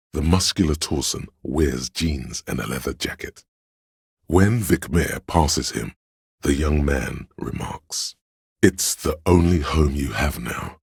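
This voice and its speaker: Game narrator: solemn, loyal cop's-instinct voice, rock-bottom bass with heavy gravel, husky, literary storytelling delivered with dry wit. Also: male voice, no echo, exaggerated voice. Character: grave, watchful inner voice of police camaraderie, wry and loyal.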